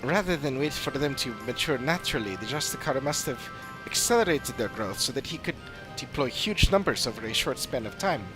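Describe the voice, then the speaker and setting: A man voicing a measured, formal investigator in fantasy game dialogue, speaking deliberately. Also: medium pitch, expressive, music in the background, close to an everyday voice, low-quality recording. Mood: analytical. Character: measured fantasy investigator piecing clues together. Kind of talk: scripted game dialogue reading.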